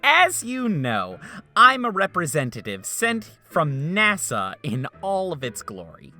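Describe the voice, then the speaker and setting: A woman acts a showy space agency presenter for a fan-dubbed game. Her voice swings hugely from high to low, bright and forceful, as she makes a grandiose pitch over soft music. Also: huge pitch swings, unhurried, exaggerated voice. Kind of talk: amateur game fan dub.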